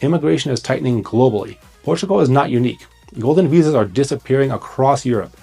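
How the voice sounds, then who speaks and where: A man with a mellow, slightly husky voice delivers scripted advice as the host of an online video. He is blunt, urgent and emphatic, landing short punchy phrases. Faint music plays behind him. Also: mid-range voice, animated, fast talker. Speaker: host, immigration consultant.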